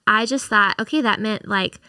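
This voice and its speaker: A woman co-hosting a faith-based podcast, speaking in a gentle, reflective way as she talks through her book. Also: medium pitch, normal speed, clear, resonant tone, no echo, clean studio sound. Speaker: podcast co-host and author.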